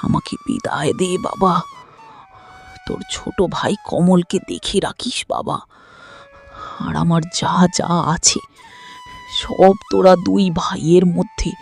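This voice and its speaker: A man's voice: high voice, a little mellow, full character voice. A man playing a tender, dying old father in a village cartoon, feeble and laboured in delivery. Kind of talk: Bengali cartoon dubbing.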